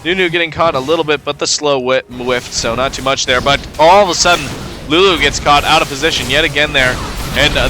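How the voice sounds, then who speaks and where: A man with a cold, sounding stuffed up, giving relaxed play-by-play commentary over a video game match with frequent fillers. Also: on the high side. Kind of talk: casual gameplay commentary.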